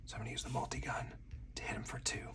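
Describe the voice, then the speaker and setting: A man speaking in a hushed ASMR whisper, thinking aloud as he talks through each move of a solo card game. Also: mid-range voice, level tone, rapid-fire, creaky, far from the mic.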